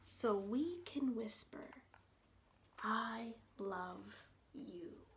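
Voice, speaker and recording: A woman with a high voice leads a children's story time. She takes her time, with a very slow delivery. Her manner is playful and she speaks straight to her young listeners. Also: slight background noise. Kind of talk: picture-book story time with read-alouds and sing-along.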